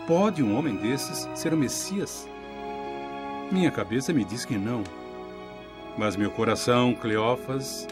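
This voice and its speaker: An actor playing a thoughtful, devout man who narrates his letters in an animated Bible film, reflective, measured and earnest. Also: mid-range voice. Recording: loud music under the voice.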